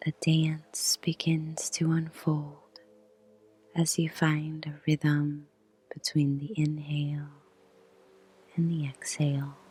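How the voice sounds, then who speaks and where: A woman guiding a meditation in a soft whisper, with a slow, soothing delivery and long pauses. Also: low voice, monotone, breathy edge. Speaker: meditation guide. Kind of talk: guided meditation.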